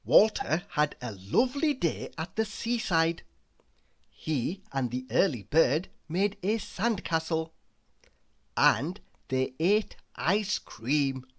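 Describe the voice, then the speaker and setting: A woman reading a children's story aloud, deliberately and with dramatic emphasis. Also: deep voice, big pitch swings, husky. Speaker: storybook reader. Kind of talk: expressive children's read-aloud narration.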